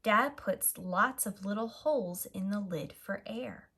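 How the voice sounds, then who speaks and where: A woman reading a picture book aloud to young children in an expressive, deliberate manner as a storyteller. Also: mid-range voice, husky. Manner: slow, expressive, simple deliberate phrasing. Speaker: storyteller, preschool teacher.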